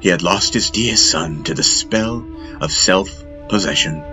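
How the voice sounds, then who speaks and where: Slow, deep-voiced male fantasy storyteller. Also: music in the background, rough recording. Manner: solemn, deliberate storytelling. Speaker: audiobook narrator. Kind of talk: dramatic scripted fantasy narration.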